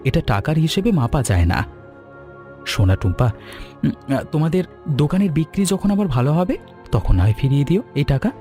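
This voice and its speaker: A man voicing a kind, generous gentleman customer in a cartoon story, speaking earnestly and with feeling. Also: on the high side, brisk, long pauses, faint music behind. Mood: reassuring. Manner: expressive, earnest, caring. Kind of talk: animated cartoon story dialogue.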